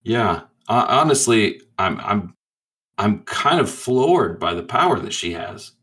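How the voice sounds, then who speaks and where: A man roleplaying in a tabletop game, telling his story in a halting, laid-back, profane way. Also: fairly low pitch, sing-song, sharp tone. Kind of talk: casual in-character storytelling.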